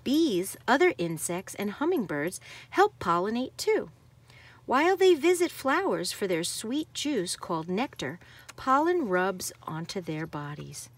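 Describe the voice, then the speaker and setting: A woman's voice: mid-range voice, sing-song, unhurried. A woman reading a nonfiction picture book aloud to children like a teacher, stressing key words.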